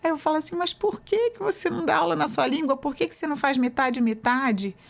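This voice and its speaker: A woman's voice: sits high, quick pace, gravelly. A woman professor with a Brazilian Portuguese accent, answering interview questions in an explanatory, conversational way, often adding "né". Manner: explanatory, uses many fillers like "né". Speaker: university professor, guest.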